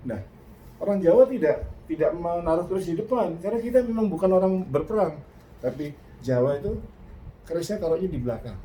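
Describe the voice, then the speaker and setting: Earnest older Indonesian man, mellow voice. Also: high voice, lively intonation, brisk, a little hiss or hum, low-quality recording. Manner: reflective, earnest, hesitant with fillers and restarts. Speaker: host. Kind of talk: casual reaction talk.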